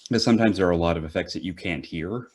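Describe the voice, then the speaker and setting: Very deep-voiced man, halting and casual. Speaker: voice actor on panel. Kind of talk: casual panel discussion. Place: remote group video call.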